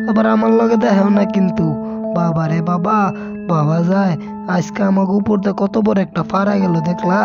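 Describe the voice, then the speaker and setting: A man voicing a talkative, excitable fox husband in a cartoon, with a colloquial eastern Bangladeshi accent and folksy exclamations. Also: high-pitched, vocal fry, highs cut off. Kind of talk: animated cartoon dialogue. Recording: music behind the voice.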